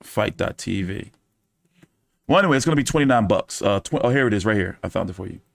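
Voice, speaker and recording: A man's voice: on the low side, lively intonation, fast talker, gravelly, no room sound. A man hosting a casual fight-commentary live stream, talking in loose, profane, joking banter. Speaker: boxing commentary host.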